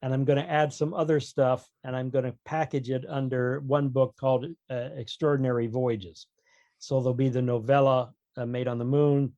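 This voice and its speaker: A man's voice: mid-range voice, flat delivery, moderate pace, dark tone, no room sound, lo-fi sound. A man talking casually as an author guest on a podcast, explaining his opinions with wry humour.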